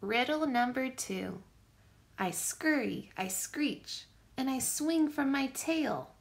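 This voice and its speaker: Female storyteller for young kids: high, slow and very expressive picture-book read-aloud. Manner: animated, deliberate phrasing for young children.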